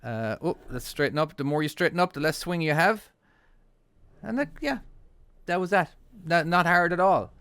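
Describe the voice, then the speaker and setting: A man's voice: a little high, lively intonation, moderate pace, fairly bright tone, smooth, no echo. Chatty Irish man commentating a game. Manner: chatty, self-deprecating, laughs often. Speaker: trucking channel host. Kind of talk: casual gameplay commentary.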